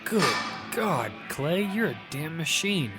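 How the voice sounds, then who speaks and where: A man's voice: fairly high pitch, very animated, slow pace. Man acting as casual young guy over music. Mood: earnest. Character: casual young male gamer, confident teasing friend. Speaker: narrator and lead character. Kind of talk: first-person audio fiction narration and dialogue.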